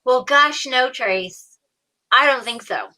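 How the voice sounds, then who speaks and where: Bright-voiced woman guest, understated. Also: high voice, takes it slowly. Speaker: show guest, voice actor. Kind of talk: casual chat.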